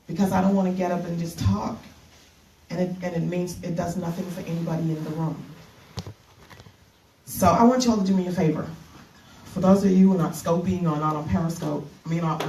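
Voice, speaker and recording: Middle-aged woman minister from the Bahamas, keynote at a women's retreat; mellow, slightly low voice, faint hiss behind. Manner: earnest, halting with fillers and restarts. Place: conference room. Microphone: handheld mic. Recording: echoey room from a distance.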